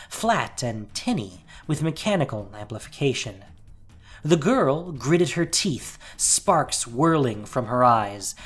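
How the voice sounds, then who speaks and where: A woman narrating fantasy fiction in a deliberate, theatrical and intense way. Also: low-pitched, breathy edge.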